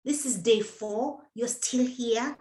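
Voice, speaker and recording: High-voiced, slow-talking coach woman. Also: a little mellow. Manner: encouraging, repetitive, direct address to listener. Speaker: menopause coach and host. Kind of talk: live motivational talk to viewers. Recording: echoey room.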